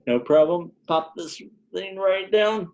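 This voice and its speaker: A man's voice: sits high, slow, darkish tone, muffled recording. A man at an informal table read playing a frantic, irritable computer programmer who mutters exasperatedly to himself. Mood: muttering, hurried.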